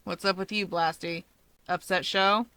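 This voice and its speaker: A woman voicing a sardonic, bored young man with a dry, deadpan drawl in a fan fiction audiobook. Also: lowish voice, a little slow, clean tone.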